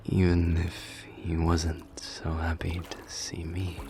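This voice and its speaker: A man performs a brooding wolfish warrior, once a general, in an ASMR fantasy roleplay. The voice is very deep and unhurried, pensive and often sinking into a breathy murmur. Music plays clearly beneath it. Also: monotone. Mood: wistful. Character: brooding male wolf warrior, former general, troubled and loyal. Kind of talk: ASMR roleplay, scripted character monologue.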